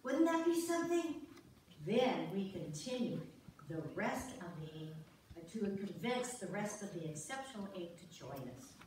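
A woman's voice: mid-range voice, sing-song, moderate pace, darkish tone, lightly acted. A woman playing a devoted, sentimental middle-aged wife in a staged play reading. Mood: doting. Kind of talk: staged reader's theater acting. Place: church sanctuary stage, far camera. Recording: very echoey church hall, far off, clear noise.

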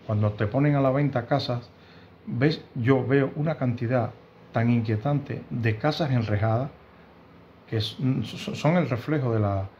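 A man in his fifties from Cuba with a Cuban Spanish accent, giving earnest, indignant news commentary to camera from a room at home, often pausing and restarting. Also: mid-range voice, darkish tone, dull audio, slight background noise. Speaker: host of a news commentary channel. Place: home room with bookshelves, indoors.

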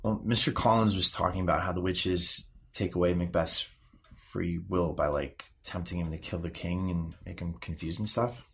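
A moody sixteen-year-old boy in an audio drama. His voice is low, with a forceful edge and some rasp. He talks in halting, hesitant bursts, guarded and quick to get frustrated. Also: full character voice. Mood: hesitant, uneasy. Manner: halting, mumbled, hesitant teenage speech. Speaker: actor playing a therapy patient. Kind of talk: naturalistic audio drama dialogue.

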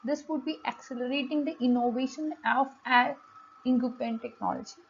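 A woman giving an explanatory lesson as a lecturer, speaking haltingly with frequent pauses and repeated words. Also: high-pitched, steady tone, slightly rough. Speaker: lecturer, host of an economics channel. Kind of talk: explanatory lesson read from slides. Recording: dull, lo-fi home recording.

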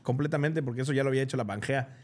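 A man's voice: mid-range voice, very fast. A young man with a Mexican accent, co-hosting a podcast in casual, slangy, jokey chat, laughing often. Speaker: podcast co-host. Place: podcast studio.

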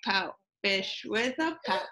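Gloomy pouting boy fish for a storybook, played by a woman; mournful, stretched-out rhyming lines, slow. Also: mid-range voice, expressive, background noise, pitched below the performer's own voice. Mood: glum, resigned. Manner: mournful, drawn-out rhyming delivery.

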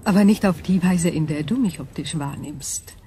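An actress playing an ancient, calm and probing crystal being in a radio drama, speaking in a measured, formal, breathy way. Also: female voice, fairly low pitch, slight background noise, close to an everyday voice. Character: ancient female super-crystal intelligence, calm, probing, wary.

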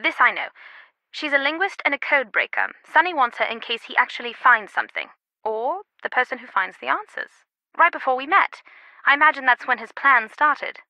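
A woman's voice: sits high, very animated, brisk, bright, forceful tone, no room sound, exaggerated voice, low-quality recording. A woman playing a worldly, wry antiquities expert in an adventure game, talking in a conversational, confiding way. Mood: chatty, amused. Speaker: video game voice actor. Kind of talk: scripted adventure game dialogue.

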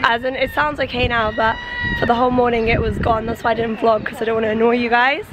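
A hoarse young woman, losing her voice, chatting casually and giggling to a camera held at arm's length while walking a city street. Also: on the high side, flat delivery, fast, lo-fi sound, background noise. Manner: chatty, giggly, rambling with fillers. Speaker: vlogger. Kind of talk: casual travel vlog.

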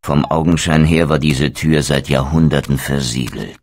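Ein Schauspieler spricht einen bitteren, stolzen untoten Krieger in einem Videospiel, feierlich und wortgewandt. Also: male voice, a bass voice, steady tone, moderate pace, darkish tone. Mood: brooding. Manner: solemn, eloquent, theatrical. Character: bitter, proud undead wraith warrior, male. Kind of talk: dramatic video game narration and dialogue.

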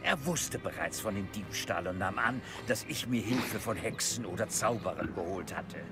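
Give me a grave, proud old goblin lord, voiced by a man for a game, curt and distrustful, his pitch rising and falling with feeling. Game music sits clearly behind him. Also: expressive, close to an everyday voice. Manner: stern, measured, curt. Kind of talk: German game dub.

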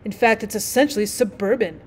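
A woman's voice: medium pitch, brisk, a little mellow, lightly acted. A woman voicing a vain male firework rocket in a storybook, with a haughty, boastful, declamatory manner. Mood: haughty, disdainful.

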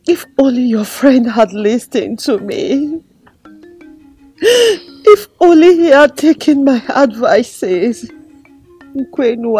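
An actress playing a grieving widow, tearful and pleading. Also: female voice, high voice, a little mellow, breathy edge, full character voice.

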